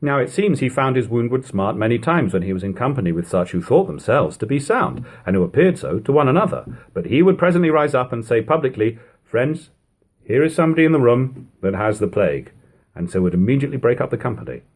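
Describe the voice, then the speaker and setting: A man reading an old-fashioned first-person literary account aloud in a measured, deliberate, emphatic way. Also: mid-range voice, big pitch swings. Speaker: audiobook reader.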